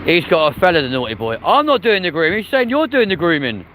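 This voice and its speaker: A man's voice: on the high side, big pitch swings, quick pace, a bit bright, a little hiss or hum. Chatty London man hosting a live stream. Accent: London, with a mix of areas. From South West London, England. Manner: chatty and jokey, reads chat comments aloud. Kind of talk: casual live-stream chat. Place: outdoors on a city street.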